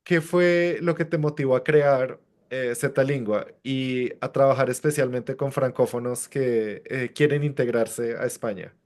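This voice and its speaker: A man co-hosting an interview podcast, reading a scripted introduction and asking questions at a measured pace with filler sounds in between. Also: mid-range voice, steady tone, a little mellow. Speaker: podcast co-host.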